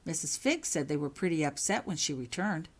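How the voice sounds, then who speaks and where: A woman's voice: deep voice, animated, brisk. A woman voicing an earnest, concerned male wizard professor in a storybook reading, anxious and explanatory.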